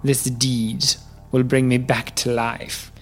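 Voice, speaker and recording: A woman playing an enchanted marble king, gravely proclaiming a decree in a folktale radio play. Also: rumbling low, flat delivery, slow, exaggerated voice. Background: music.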